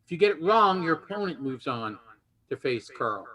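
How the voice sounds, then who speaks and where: A man hosts a casual game show in a high-pitched, bright voice. He chats his way through the explanations, often halting and rewording himself. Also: very animated.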